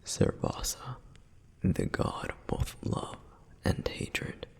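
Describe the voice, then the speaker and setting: Guy doing a slow whispery flame demon, gentle asmr storyteller, reverent, husky, big echoey hall. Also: lightly acted. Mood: reverent, fascinated. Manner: measured, reverent, gently explaining, pausing often. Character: male flame demon, protective, reverent, softly flirtatious lore guide. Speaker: audio roleplay performer. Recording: long reverberant hall echo.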